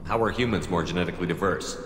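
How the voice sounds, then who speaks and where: An actor playing a steady, principled human military commander in a video game, direct and matter-of-fact. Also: male voice, low-pitched, very fast, a little hiss or hum, close to an everyday voice. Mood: inquiring. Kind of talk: video game character acting. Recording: echoey hall reverb, music behind. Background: game music.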